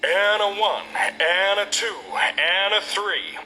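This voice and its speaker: High, goofy singing fish. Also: male voice, takes it slowly, exaggerated voice, rough recording. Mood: goofy, amorous. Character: silly singing stuffed fish, lovesick and theatrical. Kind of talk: comic novelty singing. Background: loud music.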